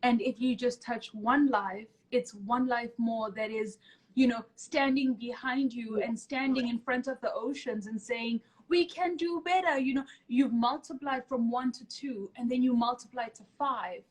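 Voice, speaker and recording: Female host, slightly high pitch, conversational, emphatic storyteller. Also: unhurried, rough recording. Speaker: podcast host. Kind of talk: casual conversational interview.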